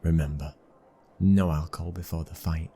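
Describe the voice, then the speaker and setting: A British man playing a young mafia boss's son, a protective, controlling husband, in an intimate ASMR roleplay, with a husky, breathy voice and a commanding manner. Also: a bass voice, steady tone, moderate pace, warm tone, a little hiss or hum. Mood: businesslike, commanding. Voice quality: husky, breathy, sometimes dropping to a whisper. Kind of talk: ASMR boyfriend roleplay audio drama.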